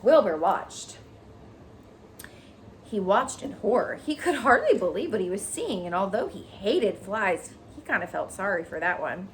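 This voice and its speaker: Animated woman reading a children's story. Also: medium pitch, unhurried, a little hiss or hum. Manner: explanatory, addressing children. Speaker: teacher reading aloud. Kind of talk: expressive children's storybook narration.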